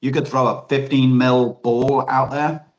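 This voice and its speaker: A man's voice: mid-range voice, level tone, measured pace. A man with an Australian accent, chatting casually and telling rambling stories full of restarts and self-corrections. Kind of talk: casual chat.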